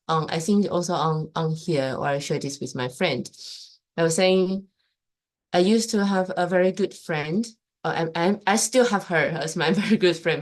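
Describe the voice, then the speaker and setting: A young woman who grew up in Taiwan, hosting a casual live stream and giving her opinions emphatically in loose English with many restarts. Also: lowish voice.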